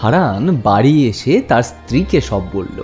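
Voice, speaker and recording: Lively male storyteller over music. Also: medium pitch, moderate pace. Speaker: narrator. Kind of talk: scripted fairy-tale narration.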